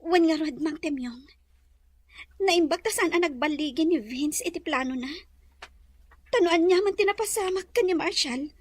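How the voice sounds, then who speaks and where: A woman's voice: very high-pitched, moderate pace, pauses often, no echo. A woman playing a sincere sweetheart and lady of the house in an Ilocano audio drama. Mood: concerned.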